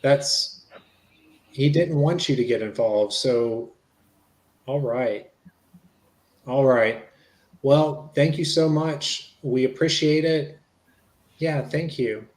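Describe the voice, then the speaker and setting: A man's voice: mid-range voice, slow pace, long pauses, a little mellow, lightly acted. A man playing a polite, reassuring police investigator in a conversational transcript reading, repeating himself as he goes. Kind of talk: dramatic reading of an interview transcript. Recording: echoey room.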